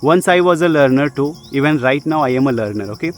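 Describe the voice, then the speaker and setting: A man's voice: medium pitch, expressive, quick pace. A young man with an Indian accent gives a casual spoken English lesson, earnestly repeating his points and often checking in with "okay?" between filler sounds. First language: Jharkhandi language. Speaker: English teacher.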